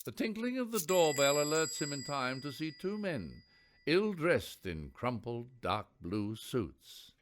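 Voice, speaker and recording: A man's voice: a little high, sing-song, a little slow, noisy background. A man reading scripted narration for a radio play.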